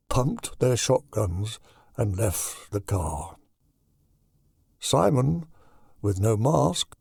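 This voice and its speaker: A man narrating a horror audiobook in a deliberate, dramatic storytelling manner. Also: mid-range voice, vocal fry, a little mellow, dry recording, clean studio sound.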